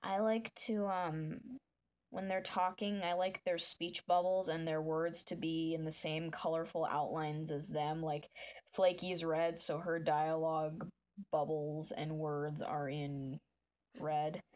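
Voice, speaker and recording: A woman streaming live, chatting with viewers in a soft, meandering way while she draws, with a voice that is deep for a woman. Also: little pitch movement, takes it slowly. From northern United States. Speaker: livestreamer. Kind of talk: casual chat while drawing on a livestream.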